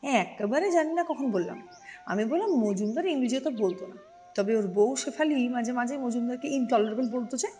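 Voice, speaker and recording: A woman's voice: on the high side, lively intonation, normal speed, mellow, faint music behind, close to an everyday voice. A woman voicing a simple, easygoing male blue shirt in a story, chatty and reassuring in a plain-spoken way. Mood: gossipy.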